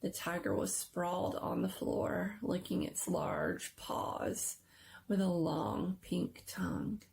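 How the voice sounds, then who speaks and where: A woman giving a dramatic, suspenseful narration of a scary story, sometimes hushed and lingering on words. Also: fairly low pitch, big pitch swings, takes it slowly, vocal fry, a little hiss or hum. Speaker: story reader.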